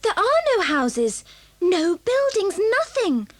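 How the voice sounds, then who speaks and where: A woman's voice: very high-pitched, wildly swooping pitch, takes it slowly, dry recording, heavily acted. A woman voicing a practical, alert schoolgirl adventurer in a children's audio drama. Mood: worried.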